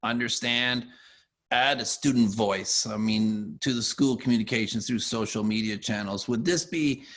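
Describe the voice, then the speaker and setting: A man interviewing conversationally, summarizing and asking questions in a wordy, halting way as he rephrases himself. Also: mid-range voice, fairly bright tone.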